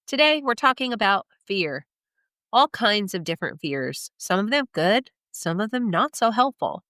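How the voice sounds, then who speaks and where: A woman's voice: mid-range voice, sing-song, normal speed, fairly bright tone, no echo, studio quality. A woman hosting a career coaching podcast, speaking in a motivational, emphatic way with a nasal voice from a cold. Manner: motivational, emphatic with rhetorical questions. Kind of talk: scripted solo podcast monologue.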